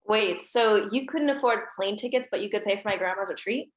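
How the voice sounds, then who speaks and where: An actress at a table read playing a guarded, bitter teenage daughter with curt replies. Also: female voice, medium pitch, brisk, roomy sound, lightly acted, poor audio. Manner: curt, cutting.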